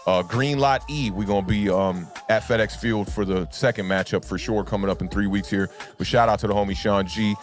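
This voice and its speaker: A young man with a low baritone voice, a little rough from being sick, talks in a loose, laid-back way and fills his sentences with "man" and "y'all." Faint music plays quietly under him. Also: brisk, hardly any pauses. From United States. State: under the weather, deeper voice than usual. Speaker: sports talk livestream host. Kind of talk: casual livestream chat with fans.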